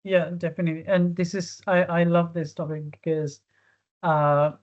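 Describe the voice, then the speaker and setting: A woman's voice: on the low side, flat delivery, normal speed, darkish tone, muffled recording. A woman from Sri Lanka speaking as a panelist in a discussion, thoughtful and often repeating words as she searches for them.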